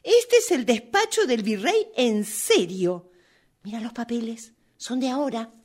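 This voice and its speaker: Una mujer con acento rioplatense interpreta en un radioteatro aficionado a una visitante ingenua, escéptica y asustadiza, de manera exagerada y exclamativa. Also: female voice, on the high side, very animated, a little slow. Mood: curious. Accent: Rioplatense Argentine. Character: naive, skeptical, easily frightened woman visiting a museum. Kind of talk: amateur radio play rehearsal.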